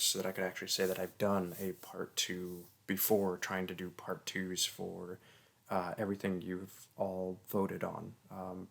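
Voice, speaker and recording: A man, a roleplay voice actor, speaking casually and haltingly to his listeners with lots of fillers. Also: fairly low pitch, vocal fry. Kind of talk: casual outro addressed to listeners.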